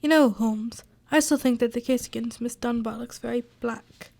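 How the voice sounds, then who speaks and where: A woman playing a puzzled, loyal gentleman companion and storyteller in a radio drama, earnest and old-fashioned in phrasing. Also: on the high side, moderate pace, gravelly.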